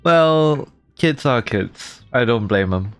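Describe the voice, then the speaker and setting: A man's voice: mid-range voice, lively intonation, takes it slowly, faint music behind. A man giving casual reaction commentary while he plays a game as a streamer.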